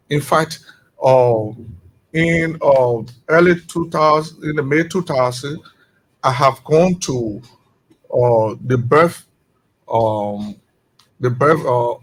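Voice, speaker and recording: A middle-aged man with a Liberian accent and a husky, somewhat high voice speaks with passion. He drives his points home with rising rhetorical questions, sounding like a guest on a morning radio talk show. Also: rough recording. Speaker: executive director of a returnee network.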